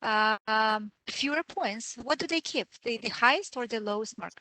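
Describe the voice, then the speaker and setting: A woman's voice: on the high side, animated, moderate pace, pushed and bright, poor audio. A woman student asking hesitant questions in an online class, searching for terms as she speaks. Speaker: course student. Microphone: video call.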